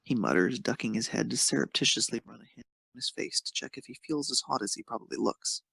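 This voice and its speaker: Gravelly, husky male narrator. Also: medium pitch, quick pace, bright, forceful tone, no echo. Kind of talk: scripted narration of romantic fan fiction.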